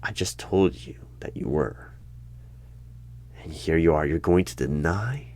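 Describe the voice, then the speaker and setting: Deep male voice, stern deadpan interviewer, ASMR roleplay, sudden loud bursts and whispers. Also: a little slow. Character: stern male hiring manager of a secret bureau.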